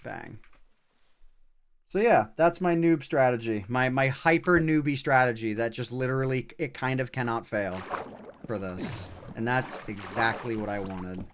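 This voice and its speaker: A man's voice: medium pitch, a little slow. A man livestreaming a video game with casual commentary, thinking aloud in short, broken-up remarks as he plays. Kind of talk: casual live gameplay commentary. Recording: clear background noise, clicking.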